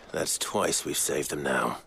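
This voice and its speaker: An actor with a British accent playing a stoic, dry-witted warrior hero in a video game. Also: male voice, rumbling low, gravelly, pushed and bright, husky, exaggerated voice.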